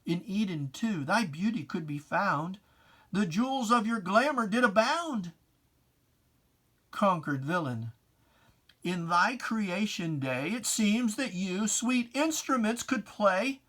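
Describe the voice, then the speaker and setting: Poetry reader, solemn and theatrical, very measured pace, mid pitch, for dramatic religious verse. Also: animated, fairly bright tone. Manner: solemn, theatrical, drawn-out phrasing.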